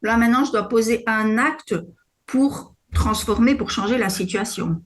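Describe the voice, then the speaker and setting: A woman hosting an interview podcast, guiding her guest with measured questions. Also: fairly high pitch. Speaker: podcast host.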